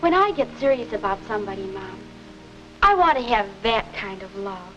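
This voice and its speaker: A woman's voice: medium pitch, sing-song, moderate pace, natural voice. An actress playing an earnest, wondering young American woman in a 1950 educational film, with a self-questioning way of talking. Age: young adult. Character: earnest young woman unsure about her romance. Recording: dull vintage 1950s film soundtrack with music.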